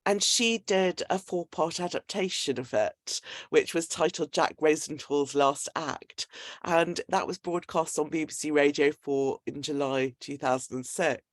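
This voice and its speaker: A woman's voice: low-pitched, unhurried, no echo, studio quality. A British woman from Manchester, speaking as a host and giving an introduction at an online talk. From Manchester, England.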